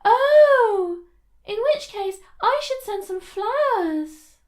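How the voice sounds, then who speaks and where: A woman's voice: very high voice, expressive, slow pace, full character voice. An actress playing a polite, puzzled, girlish female presenter in a scripted audio comedy. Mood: concerned.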